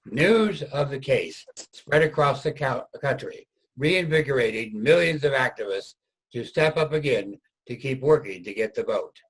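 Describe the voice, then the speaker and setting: Male narrator for staged history reading, middle pitch, husky voice, measured storytelling from a script. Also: expressive, rough recording.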